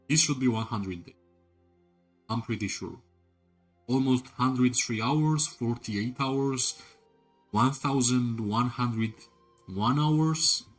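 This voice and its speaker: Guy streaming a game, middle pitch, rambly chat with viewers, soft game music behind. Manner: rambling, leans on "like" and tag questions "right?"; talks to chat viewers directly. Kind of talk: casual live-stream commentary while playing.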